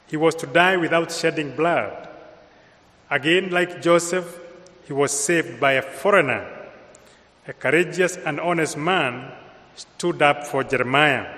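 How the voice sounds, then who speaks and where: A man with a Kenyan accent giving a homily in a slow, measured way with pauses. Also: a little high, a bit bright. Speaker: priest. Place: large church with long reverb. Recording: reverberant church hall sound.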